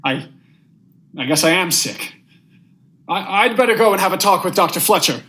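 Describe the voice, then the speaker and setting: A man's voice: high voice, lively intonation, normal speed, over loud music. A young man playing a panicked, guilt-haunted judge who has killed, in a melodramatic vintage radio thriller style, stammering when rattled. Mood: rattled, anxious. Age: young adult. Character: panicked, guilt-haunted judge, murderer narrating his own downfall.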